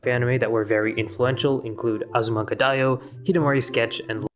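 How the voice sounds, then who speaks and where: A man reading a scripted explainer narration in an even, measured way. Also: medium pitch. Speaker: video narrator.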